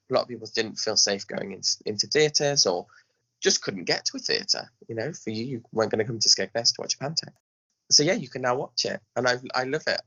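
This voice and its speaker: Podcast guest: male, bright punchy delivery, emphatic and conversational, slightly muffled lo-fi sound. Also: fairly low pitch. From England. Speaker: podcast guest, pantomime director. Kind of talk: casual interview chat.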